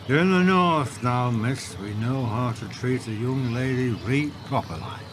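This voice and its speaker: A man's voice: medium pitch, unhurried, noisy background, lightly acted. A man playing a friendly, wry northerner in an audio drama, with a Northern English accent and folksy dialect. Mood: welcoming, wry. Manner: dialect-heavy, folksy, drily joking.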